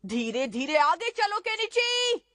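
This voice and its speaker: Little ninja boy for a cartoon, high childlike voice, excitable, real animated, dry sound. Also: slow pace, no echo, exaggerated voice. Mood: determined. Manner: peppers talk with sing-song catchphrases. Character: small young boy ninja, dutiful, excitable, exasperated helper. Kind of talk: Hindi cartoon dub.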